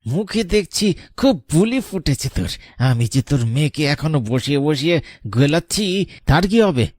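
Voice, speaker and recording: A man's voice: a little high, lively intonation, moderate pace, darkish tone, no echo, studio quality. A man playing a cruel, greedy husband who threatens and sneers in a melodramatic cartoon. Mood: bullying angry. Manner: bullying, threatening, contemptuous. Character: cruel, greedy, abusive husband demanding money.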